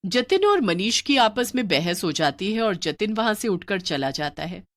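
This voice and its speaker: A woman's voice: mid-range voice, big pitch swings, normal speed. A woman reading a scripted story as its narrator.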